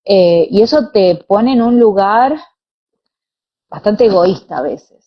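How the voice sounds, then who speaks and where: A woman's voice: mid-range voice, animated, slow. A young woman with an Argentine Spanish accent, answering conversationally as an interview guest. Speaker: interview guest, pilates teacher and former dancer. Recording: dull, lo-fi online call audio.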